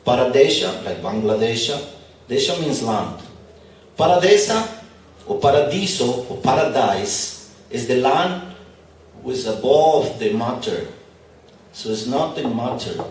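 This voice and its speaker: A man's voice: medium pitch, big pitch swings, unhurried. A man whose first language is not English, giving a conversational spiritual lecture with light humor to a live audience at an expo. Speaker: spiritual teacher. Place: large echoey venue at an expo. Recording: very echoey hall, speaker far from mic.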